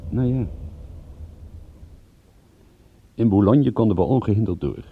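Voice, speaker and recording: Gravelly, sardonic radio private eye. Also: male voice, lowish voice, quick pace, no echo. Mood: brooding. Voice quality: gravelly, husky. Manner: sardonic, dry-witted. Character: wry, world-weary male private detective, narrating. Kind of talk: radio drama narration and dialogue. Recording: muffled, phone-like old radio recording.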